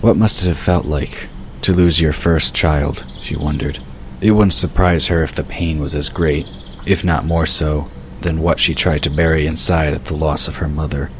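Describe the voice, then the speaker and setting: A male narrator with a remarkably low, bass voice reads a scripted fantasy tale. There is slight ambient noise behind him. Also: steady tone, moderate pace.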